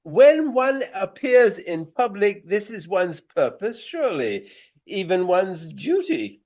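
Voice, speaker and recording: An actor playing a vain, elderly Regency stage actor with a pompous, florid, courtly manner in a radio play. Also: male voice, sits high, very animated, slow, darkish tone, muffled recording, heavily acted.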